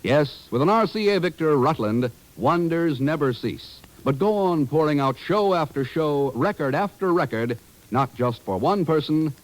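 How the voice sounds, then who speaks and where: A man's voice: fairly high pitch, animated, moderate pace, poor audio. An American man announcing in polished, emphatic 1950s radio advertising style. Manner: polished, emphatic sales delivery.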